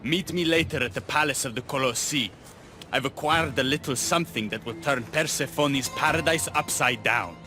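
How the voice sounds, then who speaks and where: A man's voice: mid-range voice, normal speed, pushed and bright, close to an everyday voice. An actor playing a passionate, embittered young rebel man in a video game, with intense, dramatic delivery. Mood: resolute. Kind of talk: video game cutscene acting. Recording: music faintly behind the voice.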